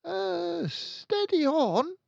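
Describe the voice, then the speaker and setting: A man's voice: very high-pitched, very animated, drawn-out words, vocal fry, dry recording, muffled recording, pitched above the performer's own voice, full character voice. A man voicing a nervous, puzzled eleven-year-old schoolboy in a storybook, protesting hesitantly. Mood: startled.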